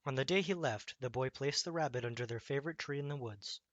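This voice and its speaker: A man reading a children's bedtime story aloud as an expressive storyteller. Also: medium pitch, quick pace, no echo, lo-fi sound.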